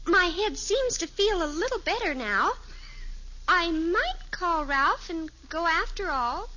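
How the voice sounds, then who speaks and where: Fickle, pleading teen daughter, high and swooping, 1950s radio comedy style, faintly noisy old recording. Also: female voice, big pitch swings, slow pace, bright, forceful tone, lo-fi sound, full character voice. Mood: coy. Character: dramatic, fickle teenage daughter in a family sitcom. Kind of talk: 1950s radio sitcom acting.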